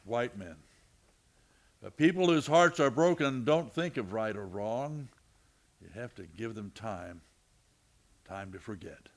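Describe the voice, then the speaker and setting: A man playing a steady, plain-talking, protective frontier lawman in an old-time radio western. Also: on the low side, measured pace, long pauses. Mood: somber, reflective.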